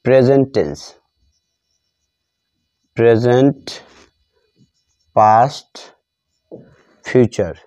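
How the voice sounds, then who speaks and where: Male grammar tutor, mellow and unhurried, repeats himself deliberately; muffled low-grade recording. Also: lively intonation, no echo. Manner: deliberate, repeats phrases as he writes. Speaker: English grammar teacher. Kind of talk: slow lesson narrated while writing out examples.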